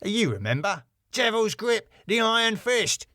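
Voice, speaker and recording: A man voicing a guileless, puzzled, slow-on-the-uptake bloke in a sitcom. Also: high voice, big pitch swings, sharp tone, dry recording, clean studio sound, pitched above the performer's own voice, exaggerated voice.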